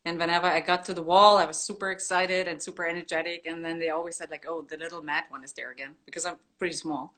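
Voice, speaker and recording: Woman, low and chatty. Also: steady tone, fast talker, few pauses, a bit bright. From Germany. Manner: laughs often, modest and chatty. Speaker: guest artist. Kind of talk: casual interview on a video call.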